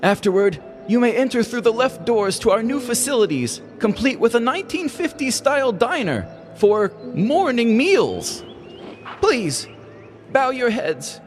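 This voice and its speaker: A man acts out a melodramatic, flippant villager in a comedic audio story. The voice sits very high for a man and moves around expressively. Faint music runs underneath. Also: sing-song, measured pace, exaggerated voice. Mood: solemn.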